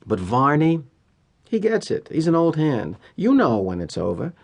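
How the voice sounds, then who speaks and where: An actor with an American accent playing a cynical, polished middle-aged corporate executive in an audio drama monologue, wry and deliberate with emphatic pauses. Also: male voice, a little high, wildly swooping pitch, creaky, breathy edge, exaggerated voice. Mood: coolly reflective. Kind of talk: theatrical first-person dramatic monologue.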